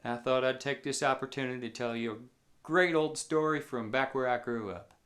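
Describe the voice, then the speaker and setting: A man with a rural southern Indiana accent telling a story in a folksy, colloquial way, pausing between phrases. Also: mid-range voice, fairly bright tone. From southeast Indiana, United States. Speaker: musician and storyteller.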